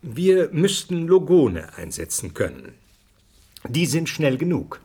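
An actor playing a thoughtful scientist who explains things deliberately in a radio drama. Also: male voice, a little high, big pitch swings, dark tone, breathy edge, full character voice. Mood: musing. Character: thoughtful male scientist musing on alien minds. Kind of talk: German radio drama acting.

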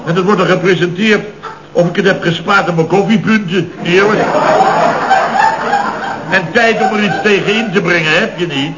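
A blustering boss in his fifties, acted by a man for a vintage radio comedy. His voice sits fairly high as he grumbles, exclaims and repeats himself in indignation. The old recording is dull, with clear noise. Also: moderate pace, pauses often, natural voice. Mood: aggrieved. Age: 50s. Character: blustering, indignant fifty-year-old male building firm boss. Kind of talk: 1970s radio comedy acting.

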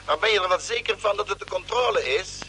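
A cautious spaceship doctor, talks over a radio link in old Dutch radio drama style. The voice is high and bright, and the vintage recording carries faint noise. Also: male voice, expressive, fast, poor audio. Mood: eager, questioning. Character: ship's doctor, cautious male astronaut on radio. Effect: radio transmission.

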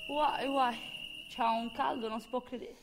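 A woman's voice: on the high side, fairly even intonation, a little slow, slight background noise, poor audio. An actress playing a complaining, gossiping village woman with a Sardinian accent from Gallura, full of exclamations. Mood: grumbling. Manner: exclaiming, grumbling, chatty. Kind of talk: comic dialect audio drama dialogue.

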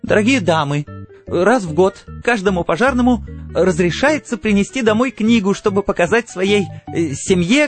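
A man playing a high, chattering housewife. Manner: coaxing, chattering, affected. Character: silly, chattering housewife with brittle forced cheer.

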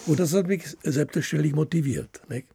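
A man with a husky, gravelly voice giving interview recollections as an author, haltingly, often ending phrases with tag questions. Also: on the high side, dark tone, dry recording. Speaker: author of radio plays, guest.

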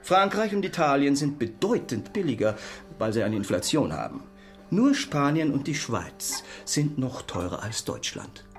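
A brisk railway clerk in a radio drama, proud of his memory and pedantic. He rattles off facts in a mid-pitched, very animated voice over faint music. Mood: brisk, informative. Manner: rattling off facts, matter-of-fact. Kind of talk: German radio drama acting.

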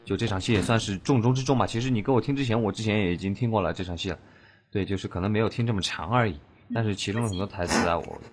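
Low-voiced man chatting over music. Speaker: voice actor on a cast panel. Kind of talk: casual group chat about an audio drama.

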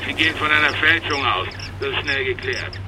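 An actor playing a tactful police colleague on the phone in a radio drama, informal and gently teasing. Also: male voice, mid-range voice, brisk, close to an everyday voice. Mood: collegial. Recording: loud background noise and ambience.

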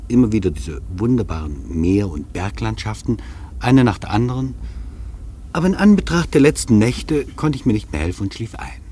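An actor playing a wry, opinionated traveller who narrates his journey in a dry, measured, ironic way, with a husky voice. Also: mid-range voice, a little mellow, a little hiss or hum, lightly acted. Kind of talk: literary first-person radio narration.

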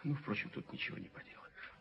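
An actor in an old radio play voicing a fussy, snobbish gentleman with a theatrical, easily scandalized manner. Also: fast talker, noisy background, natural voice. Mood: condescending. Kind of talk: 1970s Soviet radio play acting. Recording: muffled old radio recording.

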